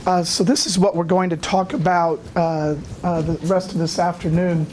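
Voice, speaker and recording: A man giving a lecture, hoarse from a cold, halting often with filler sounds and clearing his throat. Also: high-pitched, little pitch movement, a little mellow, a little hiss or hum. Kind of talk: academic lecture.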